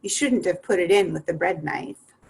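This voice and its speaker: A woman gives voice to an eccentric, rude hatter from a storybook, abrupt and curt with his riddles. The delivery is low and gravelly. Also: moderate pace, heavily acted.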